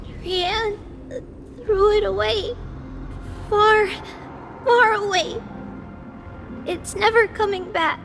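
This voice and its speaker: A woman voicing a timid, fragile little girl in a video game, speaking in halting, childlike fragments. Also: very high-pitched, fairly even intonation, a bit bright, faint music behind, full character voice. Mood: sad, dazed. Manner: halting, childlike fragments, refers to self in third person.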